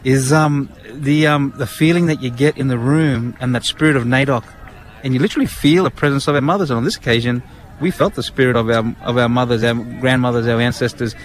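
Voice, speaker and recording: An Australian man answering interview questions earnestly, halting with many fillers and restarts, recorded outdoors at a public event. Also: medium pitch, steady tone. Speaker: guest, national committee member. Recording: outdoor event with crowd murmur.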